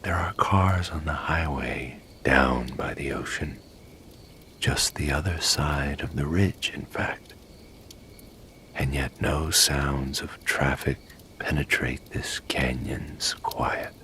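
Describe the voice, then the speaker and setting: Extremely deep, hushed man telling bedtime story. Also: steady tone, takes it slowly, slight background noise. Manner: hushed, lingering, descriptive. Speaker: sleep story narrator. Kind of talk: scripted bedtime story narration.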